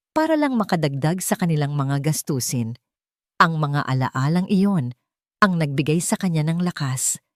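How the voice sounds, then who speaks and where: A woman's voice: lowish voice, darkish tone, no room sound, clean studio sound. A woman with a Filipino accent narrating a scripted story in a dramatic storytelling style.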